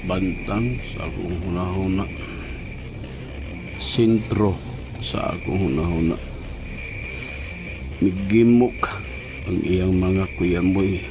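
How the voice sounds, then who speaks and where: A man acting an entranced man in a horror audio drama, speaking in a low, gravelly, halting, drowsy murmur. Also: noisy background, exaggerated voice. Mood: dazed, entranced. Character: entranced man, Dominic, slowly describing creeping visions. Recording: music bed under the voice.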